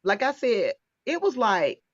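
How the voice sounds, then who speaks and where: A talkative woman in her fifties hosts a relaxed conversation, laughing easily between thoughts. Her voice comes through a dry, dull tablet microphone with no room sound. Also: on the high side, measured pace, breathy edge, no room sound. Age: 50s. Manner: chatty, laughing, restarts often. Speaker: podcast host. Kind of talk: casual interview chat.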